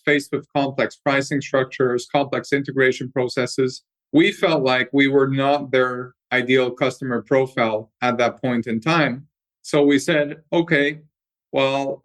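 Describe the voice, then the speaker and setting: A man's voice: medium pitch, fairly bright tone. A man from Hungary, answering interview questions fluently and at length from his office, restarting on a word now and then. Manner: fluent, explanatory, with repeated words on restarts. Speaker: company co-founder and guest. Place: company office.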